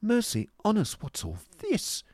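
A man's voice: fairly low pitch, sing-song, a little slow, mellow, dry recording, clean studio sound, pitched above the performer's own voice. A man voicing a pert, vain young woman with an affected, mockingly polite manner in a novel reading. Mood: affected astonishment. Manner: affected, taunting, prim.

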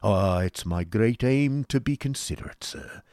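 A pompous, grasping schoolmaster pitches his school in a loud, raised, wheedling patter, as a man puts him on. He is a blustering middle-aged man who oversells everything. The sound is dry and close. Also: lively intonation, measured pace, dry recording.

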